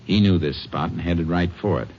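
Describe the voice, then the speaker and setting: An actor playing a steady, determined Texas Ranger in a 1950s radio drama, terse and matter-of-fact, with an American accent. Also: male voice, low-pitched, a little fast, a little hiss or hum, close to an everyday voice. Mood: grim, focused. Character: steady, determined male Texas Ranger, narrating his case. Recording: muffled old radio recording, loud music.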